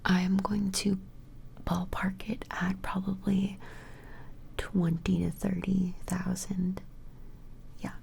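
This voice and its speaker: Slow, creaky whispering ASMR woman. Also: medium pitch, flat delivery, a little hiss or hum. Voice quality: soft breathy whisper with fry. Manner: gentle, hesitant, reassuring, unhurried. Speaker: ASMR performer playing an appraiser taking measurements. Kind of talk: ASMR personal-attention role play.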